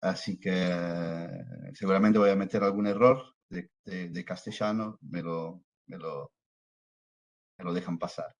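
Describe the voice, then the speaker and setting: A man's voice: deep voice, measured pace. A man from southern Italy speaking Spanish with an Italian accent, giving a hesitant conference talk over a video call. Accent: Italian, from Salerno, speaking Spanish with Argentine touches. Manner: hesitant, many fillers and restarts. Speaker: researcher and lecturer presenting.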